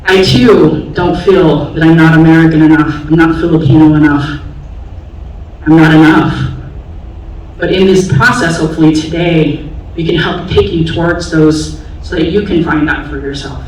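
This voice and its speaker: A woman's voice: deep voice, steady tone, normal speed, a little mellow. Woman in her forties, echoey auditorium. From San Francisco Bay Area, US. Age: 40s. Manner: candid, reflective, many fillers. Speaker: special education teacher, panelist. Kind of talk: personal storytelling to an audience. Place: large auditorium stage. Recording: echoey hall from far off, faint noise.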